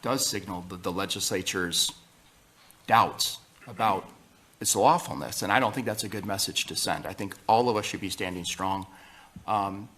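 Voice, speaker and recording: A man advocate making public remarks in a halting, emphatic way. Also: deep voice, pushed and bright. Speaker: anti-abortion activist.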